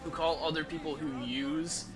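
A man in his thirties giving casual, sweary, rambling and halting commentary as he streams a game. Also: fairly high pitch, steady tone. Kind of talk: casual livestream gameplay commentary. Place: small room at home, indoors. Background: game music.

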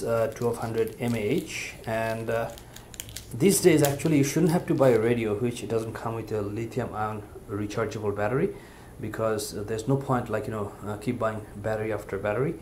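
A man with a medium-pitched voice presents a product in a casual, unscripted review style. His delivery is halting and hesitant. The recording was made in a home living room and carries faint but noticeable background noise. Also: darkish tone. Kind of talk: casual unboxing and review commentary.

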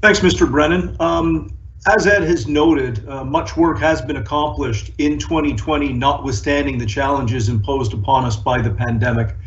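Male municipal manager, middle-pitched, formal presentation to council, slightly muffled with noticeable room echo. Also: moderate pace.

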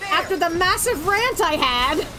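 A woman streaming a video game, with excitable, theatrical, ranting live commentary. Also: very high-pitched, measured pace, crowd noise, low-quality recording. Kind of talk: live gameplay commentary and chat. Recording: loud game music behind. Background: video game music and effects.

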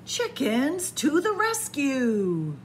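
Warm-up librarian type, middle-aged woman, bright higher voice, playful story reading in a library. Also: wildly swooping pitch, very slow, slight background noise. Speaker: children's librarian. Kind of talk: picture book read-aloud. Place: library, in front of bookshelves. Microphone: camera at close range.

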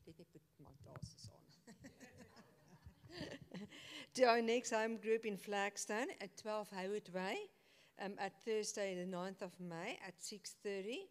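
A woman's voice: mid-range voice, steady tone, a little slow, pauses often. A woman announcing her home group to a church, nervous with many fillers and small laughs. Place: church hall. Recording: long church hall reverb.

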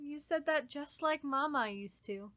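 A naive grown daughter played by a woman in a radio horror drama, longing for her missing mother. The voice is high and piercingly bright, with an earnest, folksy, almost childlike eagerness. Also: takes it slowly. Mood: earnest, hopeful.

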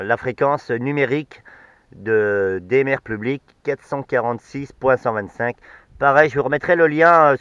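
A middle-aged man giving a casual hands-on demonstration, hesitant and pausing often. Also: medium pitch, a bit bright, clear, resonant tone. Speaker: amateur radio hobbyist filming a vlog. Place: outdoors by a field and inside a parked car.

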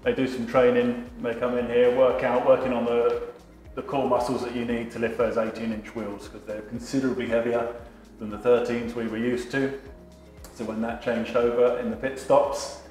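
A man's voice: mid-range voice, flat delivery, moderate pace, a little mellow. Casting: male staff guide, matter-of-fact and unpolished, roomy workshop echo, faint music behind. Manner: plain, matter-of-fact explaining with many ums. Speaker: team staff member giving a tour. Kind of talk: explaining on a walking tour. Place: large workshop indoors.

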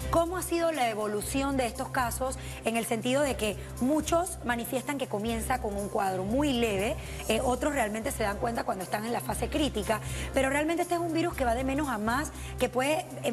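A young woman, a TV host who puts long, poised questions to a guest. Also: on the high side. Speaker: morning show host. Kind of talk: TV interview questions. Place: TV studio.

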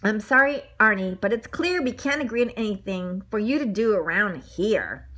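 A woman's voice: medium pitch, expressive, moderate pace, a bit bright. A woman playing a fussy, sentimental man in a children's story, speaking in an earnest, exclamatory way. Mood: sad, apologetic. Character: fussy, fond male donut owner, sentimental, hungry.

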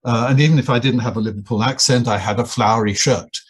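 An older man from England, a guest anthropologist and writer, telling wry, self-deprecating stories in a relaxed interview, often restarting his sentences. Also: medium pitch, quick pace, husky.

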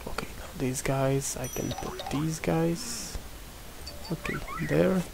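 A man's voice: a little high, expressive, slow, gravelly, noisy background. A young man from Portugal with a Portuguese accent, casually commenting on a game while he plays, mumbling to himself. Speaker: gameplay commentator.

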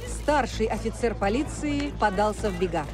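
An actress playing a formal, crisp female TV news reporter delivering a grave bulletin in a video game. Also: mid-range voice, lively intonation, moderate pace, music in the background, natural voice.

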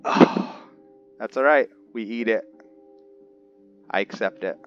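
A man's voice: lowish voice, slow pace, muffled recording. A man giving casual roleplay commentary on a video game, often hesitant and trailing off mid-thought. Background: background music.

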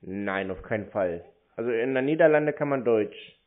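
A man's voice: medium pitch, animated, moderate pace. A man in a casual phone conversation, answering tersely and bluntly in a grumbling way. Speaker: prank scam-baiter. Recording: narrow-band phone sound.

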